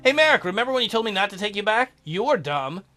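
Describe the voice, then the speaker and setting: A headstrong young heroine , read by a man, insists and refuses to back down. The voice is raised above his usual, bright and tense. She speaks her mind firmly. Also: high voice, very animated, fast, exaggerated voice. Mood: defiant. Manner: defiant, insistent. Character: stubborn, caring young woman archaeologist.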